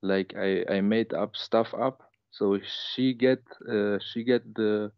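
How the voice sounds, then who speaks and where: A man's voice: lowish voice, steady tone, slow, clear, resonant tone, no echo, lo-fi sound. A young man from Germany in his twenties calling in to tell a personal story, hesitant and emotional, with many fillers and restarts. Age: 20s. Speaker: caller. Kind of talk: personal story on a call-in show.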